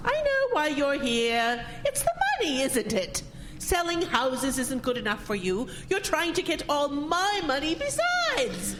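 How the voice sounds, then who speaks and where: A woman's voice: high-pitched, sing-song, a little slow, noisy background. A woman playing a haughty, pious, wealthy spinster in an audio drama, speaking in a formal, imperious, prickly way. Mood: indignant, cutting.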